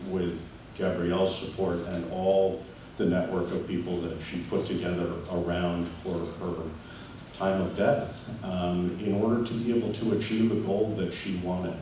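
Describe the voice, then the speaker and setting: A man giving earnest, halting thanks at a memorial gathering. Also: low-pitched, flat delivery, mellow. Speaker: memorial speaker. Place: memorial gathering in a large room. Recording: echoey hall from far off, noisy room.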